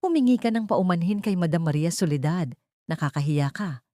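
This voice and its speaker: A woman's voice: fairly low pitch, expressive, a little fast, dark tone, no room sound, clean studio sound, close to an everyday voice. A woman voicing an anxious, greedy man, the grown son of a rich family, in an audio drama. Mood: flustered. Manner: anxious, stammering.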